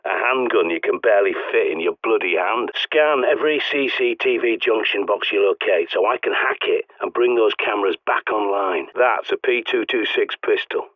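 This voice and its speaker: A gruff British survivalist man from a video game, talks over a thin, phone-like radio with no room around him. His voice sits fairly low, and he is blunt, paranoid and used to giving orders. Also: normal speed, few pauses, no echo. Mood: brisk, instructing. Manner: blunt, sweary, commanding. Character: gruff, bossy, paranoid male doomsday survivalist guiding a survivor. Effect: radio transmission filter. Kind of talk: video game character dialogue. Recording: narrow, phone-like radio sound.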